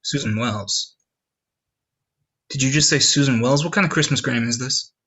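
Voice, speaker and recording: Man playing a husky, impatient businessman. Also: mid-range voice, normal speed, pushed and bright. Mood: baffled. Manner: sarcastic, brusque. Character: gruff, impatient, cynical businessman father. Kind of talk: comedic script read.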